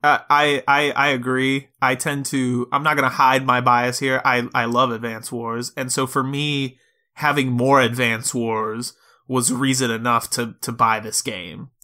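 Man, bright crisp-edged tone, medium pitch, podcast host, casual gaming talk, measured explanations. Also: flat delivery. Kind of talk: casual video game discussion.

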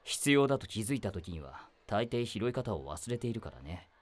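A man playing a meddling male teacher in a voice drama, who speaks in a wry, gently probing, lecturing way. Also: on the low side, big pitch swings, moderate pace. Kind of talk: voice drama acting.

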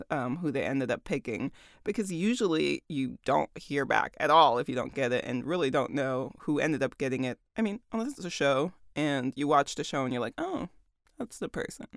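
Deep-voiced woman, chatty and laughing. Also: no room sound, clean studio sound. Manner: chatty, laughs often, many "like" fillers. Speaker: voice actor and audiobook narrator. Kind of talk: casual chat about her work.

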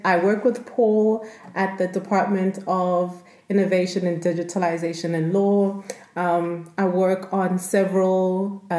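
A woman who grew up in South Africa, co-hosting a law and technology podcast and explaining topics in a relaxed, conversational way. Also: fairly low pitch. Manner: relaxed and explanatory, with frequent fillers. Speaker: podcast co-host, law researcher. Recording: echoey room.

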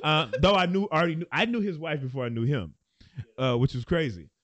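An American man co-hosting a casual podcast, recalling stories in a relaxed way with chuckles. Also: on the high side, a little fast, dry recording. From United States. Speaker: podcast co-host. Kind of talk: casual chat.

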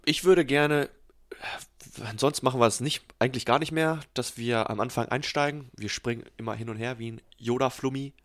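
A man with a mid-range voice talks through a movie in relaxed podcast conversation, musing and giving opinions as he goes. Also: medium pitch, a little fast. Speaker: podcast co-host. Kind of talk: casual film review chat.

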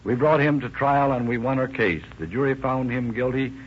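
A man's voice: mid-range voice, moderate pace, lightly acted. A man playing a lawman who sums up the outcome of a case in a 1930s radio drama, with a muffled old recording and faint noise. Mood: matter-of-fact. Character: male lawman summing up a case. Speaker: sheriff narrating the case outcome.

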